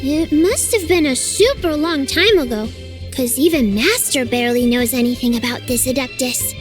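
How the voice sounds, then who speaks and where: An actress voicing a cheerful, earnest young girl apprentice in a game, explaining things patiently and brightly. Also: high-pitched, animated, normal speed, over music. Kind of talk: game voice acting.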